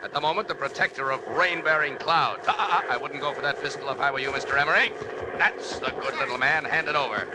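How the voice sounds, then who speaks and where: Through heavy noise on an old radio recording comes a man acting a superhero of middling pitch. He gives orders with stern confidence and a wry, mock-courteous edge, in classic 1940s serial style. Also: fast talker, close to an everyday voice. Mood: stern, commanding. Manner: stern, mock-polite, commanding. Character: heroic alien superhero, confident, commanding and wry.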